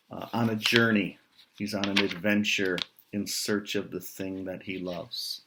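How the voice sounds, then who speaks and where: An American man reads a picture book to children in a fairly low voice. He takes his time, very slow and deliberate, and steps aside to put chatty questions to his young listeners. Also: slight background noise. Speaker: writer reading a picture book aloud to children. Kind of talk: read-aloud storytelling with chatty asides to children.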